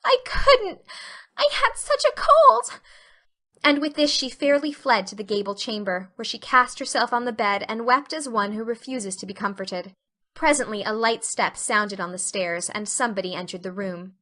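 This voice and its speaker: Bright-voiced woman narrating a story. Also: mid-range voice, moderate pace. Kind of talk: scripted audiobook narration.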